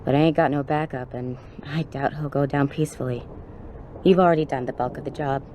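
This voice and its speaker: A tough, brash policewoman, with a very low, mellow voice and slangy, wry delivery. It is video game dialogue with faint noise behind it. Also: steady tone, brisk, lo-fi sound. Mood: determined.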